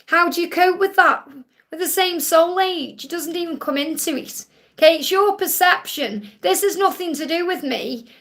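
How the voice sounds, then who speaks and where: A woman's voice: sits high, a bit bright. A woman in her forties hosting a live question-and-answer stream, reading viewers' messages and giving reassuring spiritual readings in a sing-song, affirming manner. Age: 40s.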